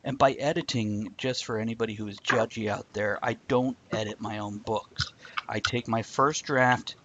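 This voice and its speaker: A man's voice: on the low side, steady tone, moderate pace, fairly bright tone, muffled recording, a little hiss or hum. A man hosting a live online talk show, chatting casually and rambling a bit with a self-deprecating humor. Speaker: podcast host. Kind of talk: casual chat.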